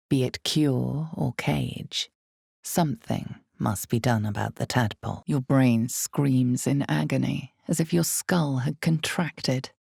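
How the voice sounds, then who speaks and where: A woman voicing the omniscient narrator of a dark fantasy game, grave and ominous, with measured delivery and dramatic pauses. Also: no echo, clean studio sound, exaggerated voice. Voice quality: creaky, low, mellow. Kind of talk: dramatic scripted second-person fantasy narration.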